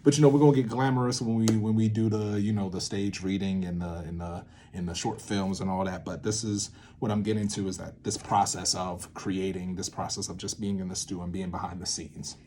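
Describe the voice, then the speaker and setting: A man hosting a casual vlog, thinking aloud in an informal, rambling way with sudden drawn-out, emphatic words. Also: sits low, steady tone, mellow, slight background noise. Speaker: video series host, theatre maker. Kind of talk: casual unscripted creative-process talk, thinking aloud.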